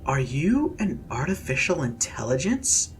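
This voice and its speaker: A man's voice: sits high, sing-song, unhurried, a little hiss or hum, voice pushed up. A man voicing a startled, hesitant young woman exploring a strange lab. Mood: uncertain. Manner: nervous, hesitant.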